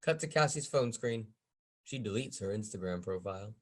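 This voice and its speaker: A man's voice: fairly low pitch, expressive, normal speed. A man reading stage directions as the narrator of a script table read.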